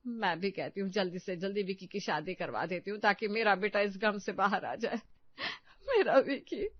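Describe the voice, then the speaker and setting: A woman's voice: mid-range voice, moderate pace, dry recording, lo-fi sound, lightly acted. Woman acting a melodramatic, overprotective Indian mother. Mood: sarcastic, nagging, then tearful, dramatic. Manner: scolding, emotionally blackmailing. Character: melodramatic, overprotective middle-aged Indian mother, nagging and tearful. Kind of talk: comedic Hindi audio story acting.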